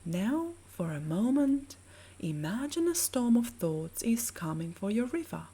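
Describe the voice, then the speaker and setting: Slow, breathy woman guiding meditation. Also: fairly high pitch, big pitch swings. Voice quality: soft, breathy. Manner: soothing, measured, gently instructing. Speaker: guided meditation narrator. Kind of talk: scripted guided sleep meditation.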